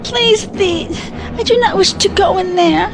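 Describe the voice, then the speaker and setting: Woman acting a very high-voiced, timid young woman, slow. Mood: frightened, pleading. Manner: stilted formal phrasing, slow. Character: timid, formal-speaking outsider young woman. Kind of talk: audio drama acting. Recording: loud music under the voice.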